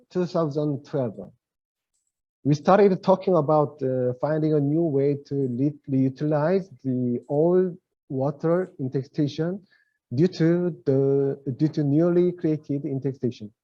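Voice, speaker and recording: A middle-aged man with a Korean accent, giving a webinar presentation in English as his second language, halting and searching for words. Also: on the high side. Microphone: video call. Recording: lo-fi video call audio.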